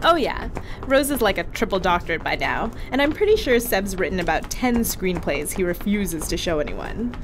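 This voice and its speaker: A woman playing a lively, crude crewwoman. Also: mid-range voice, normal speed, background noise. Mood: casual. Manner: casual, swearing, laughing. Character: chatty, crude young crewwoman on a ship. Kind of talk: audio drama acting.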